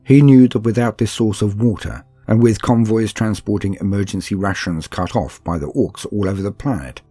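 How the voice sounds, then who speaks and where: Deep, husky man narrating calmly. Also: no room sound, faint music behind. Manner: calm, steady, measured storytelling. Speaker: narrator reading a campaign book. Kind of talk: scripted narration of war lore; calm sleep-aid reading.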